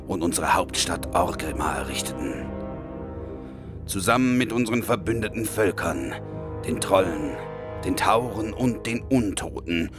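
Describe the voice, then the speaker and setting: A man playing a mighty orc shaman in a parody audio drama, solemn, pompous and aggrieved. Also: low-pitched.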